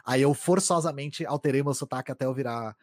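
A young adult man from Greater São Paulo, a content creator and streamer, chatting casually in a talkative, animated way. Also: medium pitch, no room sound. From Greater São Paulo, Brazil. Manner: talkative, fast, animated storytelling. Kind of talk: casual conversation.

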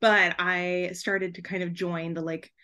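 A woman's voice: lowish voice, flat delivery, a little slow, sharp tone, clear, resonant tone. A woman chatting casually as a podcast guest, bubbly and effusive in the way she talks. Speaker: podcast guest, debut author. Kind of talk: casual chat.

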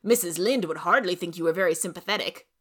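A woman playing a stern, reproving older woman guardian in a storybook, measured and admonishing. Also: lowish voice, lightly acted.

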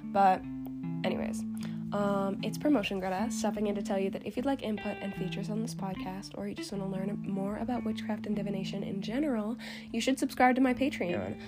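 Chatty woman podcaster over loud guitar music. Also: mid-range voice, fairly even intonation, brisk. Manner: chatty, informal, frequent "like". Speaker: podcast host. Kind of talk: casual solo podcast talk. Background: plucked guitar music.

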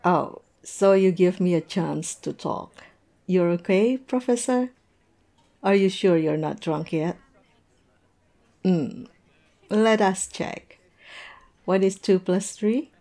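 A woman playing a tipsy, observant coworker in a conversational audio roleplay, musing and teasing in a halting way. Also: on the low side, vocal fry, dark tone. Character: tipsy, observant young woman chatting with coworkers over drinks.

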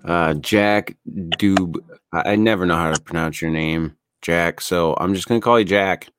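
A man with a very low, deep voice, talking loosely on a podcast. He drifts along with plenty of fillers and cheerful swearing. The sound is close and dry, with no room around it. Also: normal speed, a bit bright, clean tone, no echo. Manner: laid-back, swears freely, lots of fillers. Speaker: podcast co-host. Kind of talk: casual podcast chat.

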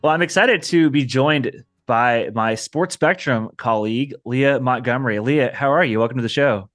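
A man hosts a podcast in a friendly, upbeat way at a middle pitch. Guitar music plays clearly behind his voice. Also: normal speed, a bit bright, no echo, clean studio sound. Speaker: podcast host. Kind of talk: podcast intro and interview. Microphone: video call.

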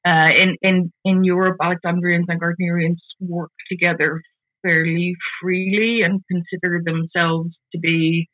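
A woman in her forties from the Netherlands, with a Dutch accent, talking casually as an interview guest, halting and often repeating words as she restarts. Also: fairly low pitch, little pitch movement, dry recording. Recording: tinny phone-like call audio.

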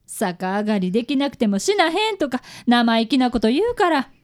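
A woman's voice: on the high side, big pitch swings, moderate pace. A woman acting an earnest, polite younger woman in a radio drama. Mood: apologetic, earnest. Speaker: amateur radio drama actor.

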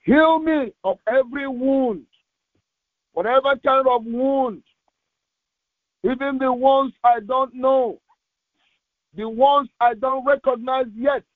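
A man's voice: very high-pitched, steady tone, slow, pauses often, dry recording. A man preaching and leading fervent prayer as a pastor, insistent and repetitive, delivering it phrase by phrase. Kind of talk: fervent Pentecostal prayer sermon with exhortation. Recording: thin, phone-like radio sound.

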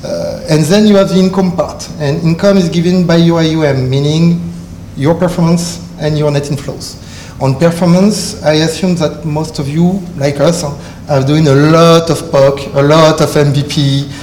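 French-accented man, higher voice, echoey hall. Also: steady tone, a little mellow. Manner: halting non-native English with many filler uhs. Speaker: panelist. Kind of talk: conference panel talk. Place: conference hall. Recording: echoey conference hall with faint noise.

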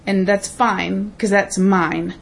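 A woman with a raspy voice co-hosting a casual podcast, chatting and giving reflective life advice. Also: mid-range voice, fairly even intonation, slow. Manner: chatty, reflective, laughs easily. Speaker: podcast co-host. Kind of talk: casual chat and advice segment.

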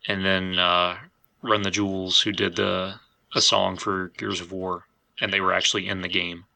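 A man's voice: sits low, little pitch movement, moderate pace, gravelly, pushed and bright, low-quality recording. A man hosting a podcast, talking casually and feeling out his phrasing as he goes. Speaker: podcast host.

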